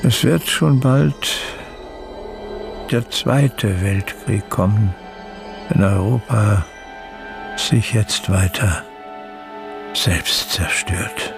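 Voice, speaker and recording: An actor playing a bitter, grandiose old man brooding in a radio drama, halting as he speaks. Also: lowish voice, creaky, full character voice. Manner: slow, halting, brooding delivery. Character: dying old man, bitter, grandiose, fallen war profiteer. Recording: loud ominous music behind.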